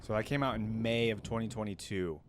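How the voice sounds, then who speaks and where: A man's voice: on the low side, a little fast, noisy background. A man hosting a reaction video with casual, informal commentary, thinking aloud as he goes. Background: game music.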